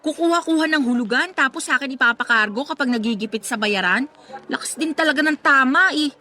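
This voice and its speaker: Radio drama: exasperated young Filipino woman, chatty, dramatic, high bright voice, light music behind. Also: fast. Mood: exasperated venting. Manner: chatty, slangy, dramatic complaining. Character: feisty, exasperated young working woman venting about family. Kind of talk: Tagalog radio drama acting. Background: background music.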